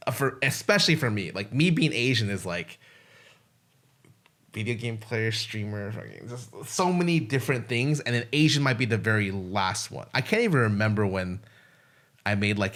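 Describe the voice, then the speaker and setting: A man's voice: lowish voice, expressive, normal speed, a bit bright. A man co-hosting a podcast, chatting casually and frankly and swearing freely. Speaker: podcast co-host.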